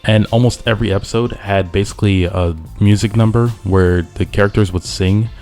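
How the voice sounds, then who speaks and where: Low-voiced man reviewing over music. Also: fairly even intonation, brisk, a little mellow. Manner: informal, conversational. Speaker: video essayist, TV show reviewer. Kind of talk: loosely scripted review commentary. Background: background music.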